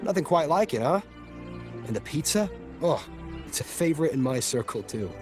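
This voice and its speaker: An actor playing a breezy, ingratiating newcomer who fishes slyly for gossip in a video game. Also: male voice, fairly high pitch, big pitch swings, normal speed. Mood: breezy, inquisitive. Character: chatty, friendly newcomer slyly fishing for gossip. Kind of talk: scripted video game dialogue. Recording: loud music behind.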